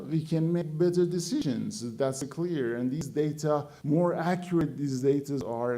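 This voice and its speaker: Halting man giving a conference talk. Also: a little high, warm tone. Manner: halting, many fillers and restarts. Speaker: conference speaker. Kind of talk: conference presentation. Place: conference hall.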